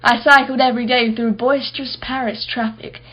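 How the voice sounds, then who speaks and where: Actress doing a posh-acting rich wife in a radio play, blunt n haughty, highish voice, bit muffled audio. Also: female voice, fairly even intonation, brisk, close to an everyday voice. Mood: dry, candid. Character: wealthy, blunt, brittle, haughty married society woman.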